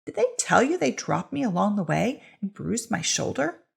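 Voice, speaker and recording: A woman's voice: medium pitch, very animated, moderate pace, gravelly, husky, pitched above the performer's own voice, heavily acted. A woman voicing a fierce, indignant accused witch with archaic, rhetorical speech in a storybook reading.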